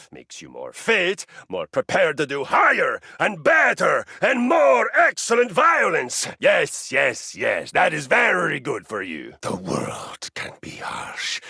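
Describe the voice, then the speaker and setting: Gravelly hell-warrior handrail from a dating game, huge pitch swings, yelling then whispering. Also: male voice, no echo, clean studio sound, exaggerated voice. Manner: grandiose, theatrical, swings from booming shouts to hushed menace. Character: bloodthirsty male warrior handrail, boastful, violence-obsessed. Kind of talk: video game voice acting.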